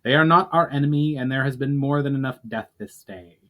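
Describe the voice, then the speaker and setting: A weary, principled leader of a fantasy army, acted out by a man reading a story. He speaks with earnest, deliberate emphasis and the authority of a commander. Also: mid-range voice, fairly bright tone, voice pushed up, close to an everyday voice. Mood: commanding.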